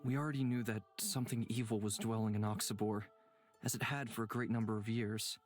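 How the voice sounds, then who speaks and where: A man voicing a courteous, composed and kindly traveler in a visual novel, polite and measured. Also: lowish voice, fairly even intonation, soft background music, close to an everyday voice. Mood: polite, sincere.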